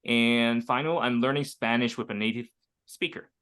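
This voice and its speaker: A man's voice: medium pitch, normal speed, fairly bright tone, clear, resonant tone. A man from Hong Kong in his thirties, teaching an online English group lesson over a video call, chatty and full of fillers and restarts.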